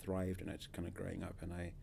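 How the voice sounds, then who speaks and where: A man's voice: low voice, monotone, fast talker, creaky, warm tone, slight background noise. A man in his thirties from Zimbabwe, a podcast guest who talks hesitantly with many fillers and restarts. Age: 30s. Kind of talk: casual interview.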